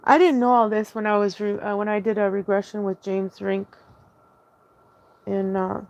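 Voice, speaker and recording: A woman's voice: medium pitch, steady tone, normal speed, gravelly, a little mellow, low-quality recording. A woman telling her own story in a casual, rambling monologue, hesitating often, restarting sentences and spelling out words letter by letter.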